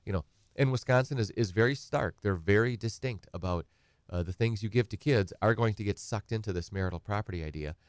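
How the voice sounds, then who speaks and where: Low-voiced Midwestern man explaining casually. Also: fairly quick, no echo, clean studio sound. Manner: rambling, voices clients' questions in examples. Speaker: estate planning attorney guest. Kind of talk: conversational talk show explanation.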